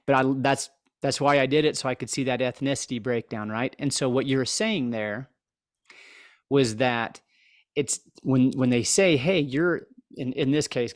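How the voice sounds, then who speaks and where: A man with an American accent chats as a podcast host in a mid-pitched voice. He is folksy and self-deprecating, working through his thoughts aloud. The sound is close and dry. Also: no room sound. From southeast Idaho, United States. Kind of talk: casual interview chat.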